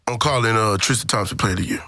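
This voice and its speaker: A man's voice: on the low side, quick pace, pushed and bright, no room sound. An American man in casual, slangy podcast chat, emphatic and often repeating himself. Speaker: podcast host. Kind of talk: casual sports and gossip chat.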